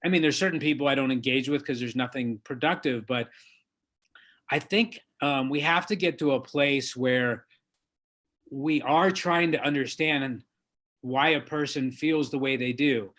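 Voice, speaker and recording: A man being interviewed as an author, lawyer and diversity trainer, speaking in an earnest, measured and emphatic way. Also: medium pitch, fairly bright tone. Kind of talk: interview guest, conversational.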